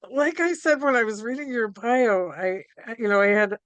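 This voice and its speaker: A woman hosting a live online conversation over a video call, talking in a halting way with many ums and restarts. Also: on the high side, lively intonation, a bit bright, no echo. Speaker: host.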